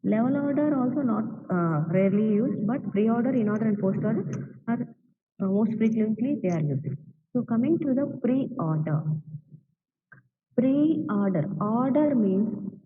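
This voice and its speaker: A woman's voice: a little high, animated, unhurried. A woman with an Indian English accent teaching an online class, often asking "right?" to check that students follow. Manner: repetitive, checks understanding with "right?". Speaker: lecturer. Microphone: video call. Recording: distant tinny online-class audio.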